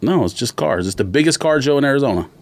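Man, medium pitch, animated, blunt teasing podcast banter, faint noise. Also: quick pace.

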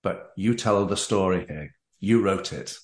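A man's voice: low voice, unhurried, reverberant. A man hosting a book interview, speaking emphatically and repeating phrases and tag questions for effect. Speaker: host of a book talk show. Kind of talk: interview, discussing a book with its author.

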